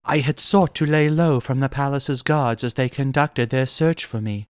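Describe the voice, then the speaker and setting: A man plays a young noblewoman of pulp fantasy. The voice is soft, smooth and clear, with formal archaic phrasing. The recording is dry and close. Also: medium pitch, moderate pace, no echo, lightly acted. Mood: composed, confiding.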